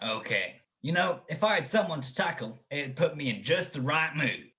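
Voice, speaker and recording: A man playing a dopey, impulsive young ex-college football player in a radio-style comedy mystery, blustering and excitable. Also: fairly high pitch, normal speed. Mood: suspicious, blustering.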